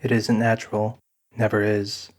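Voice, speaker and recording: Guy narrating fantasy audio drama, lowish voice, pretty flat, music in the back. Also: normal speed.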